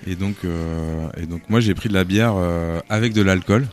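A man's voice: sits low, moderate pace. A man, a musician guest, chatting casually in a radio interview in a laid-back, hesitant, slangy way. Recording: faint music behind the voice. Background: music playing quietly.

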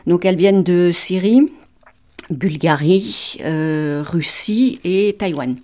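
A woman speaking as a festival organizer in a radio interview, measured and using fillers. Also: on the low side, mellow.

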